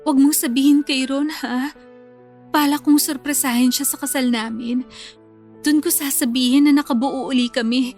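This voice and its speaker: A woman's voice: high voice, steady tone, normal speed, a little mellow, soft background music. A Filipino woman playing a lovesick, insecure pregnant bride-to-be in a radio drama, confiding emotionally and clinging to hope. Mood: hopeful. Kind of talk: radio drama acting in Tagalog.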